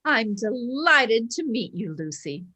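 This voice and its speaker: A woman playing an inquisitive, polite suburban housewife in a staged play reading, with expressive delivery. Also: on the high side, slow, husky, full character voice. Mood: polite, curious.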